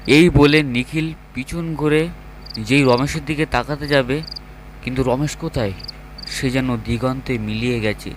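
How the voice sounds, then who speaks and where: A man narrates a scripted horror story in a medium-pitched voice. There is a faint hum of noise behind him as he tells the tale. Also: measured pace, poor audio.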